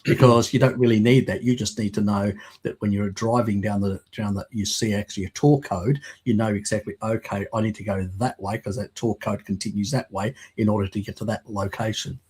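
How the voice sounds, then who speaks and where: Casting: man, low and husky, rambling explainer with lots of restarts, slight cold croak. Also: steady tone, darkish tone. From Australia. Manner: rambling, halting with fillers and restarts. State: lingering croak from a recent cold. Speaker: guest, works in mapping. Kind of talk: casual live-stream chat. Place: home, video call. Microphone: video call.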